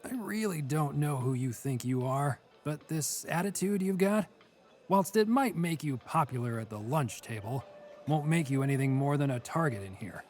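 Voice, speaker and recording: A man's voice: on the high side, expressive, normal speed, darkish tone, lightly acted. Man acting a weary, stern seasoned prisoner. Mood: stern warning. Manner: stern, weary, dry, exasperated. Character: world-weary, gruffly cautioning seasoned male prison cellmate.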